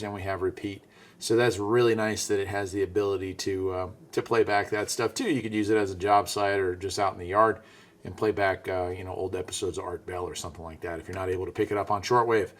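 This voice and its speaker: A man's voice: fairly low pitch, steady tone, fairly quick, a bit bright. A man giving a casual, laid-back hands-on product demo, muttering informal asides as he tinkers. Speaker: radio reviewer and vlog host. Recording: faint background noise.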